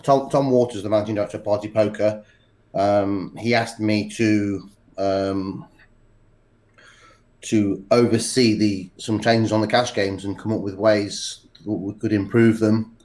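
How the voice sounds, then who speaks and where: A man with a cold, speaking in a halting way with many pauses and restarts as a podcast interview guest. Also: fairly low pitch, darkish tone. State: congested with a cold. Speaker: poker executive guest.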